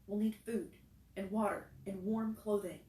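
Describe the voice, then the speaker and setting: A woman voicing a sharp-tongued teenage girl who scolds the boys and takes charge. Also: medium pitch, a little slow, recorded from a distance, pitched above the performer's own voice, natural voice. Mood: firm calm. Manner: scolding, commanding. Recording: roomy echo, faint noise.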